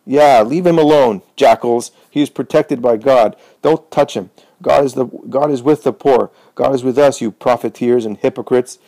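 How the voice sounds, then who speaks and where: A man voicing a rowdy, indignant crowd of poor townsmen hurling shouted insults and cries. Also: high voice, big pitch swings, slow, creaky, hardly any pauses, no echo, pitched above the performer's own voice, full character voice.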